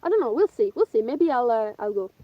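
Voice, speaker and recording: Chatty woman, bright voice. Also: fairly high pitch, sing-song, quick pace, dry recording. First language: Italian. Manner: chatty, rambling, many ums and uhs. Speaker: vlogger answering viewer questions. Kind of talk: casual live-stream chat. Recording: dull, dry lo-fi live stream.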